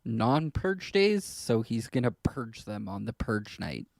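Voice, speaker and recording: A man with a gravelly, creaky voice, recorded close and dry. He talks as a podcast co-host in casual discussion, working through his explanations in a loose, halting way at a middling pitch. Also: very animated, a little mellow, no echo. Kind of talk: casual discussion of films and politics.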